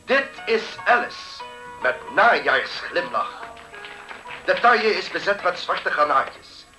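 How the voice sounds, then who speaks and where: An actor playing a vain, self-important fashion designer in a 1970s radio play, pompous and easily indignant. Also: male voice, high voice, sing-song, slow pace, music in the background, full character voice, low-quality recording. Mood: grandly presenting.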